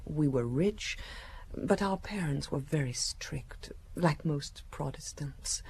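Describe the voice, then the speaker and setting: Slow, coy young woman. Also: sits low, expressive, a little mellow, a little hiss or hum, exaggerated voice. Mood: wistful. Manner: hesitant, breathy pauses, coy. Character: secretive young Danish woman, languid, coquettish, nervously timid. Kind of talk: audio drama acting.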